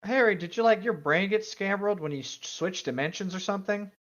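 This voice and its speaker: A man's voice: sits high, fairly quick, fairly bright tone, muffled recording. A man casually reading a story aloud and riffing on it as a comedic co-host. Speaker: co-host of a fan fiction reading show.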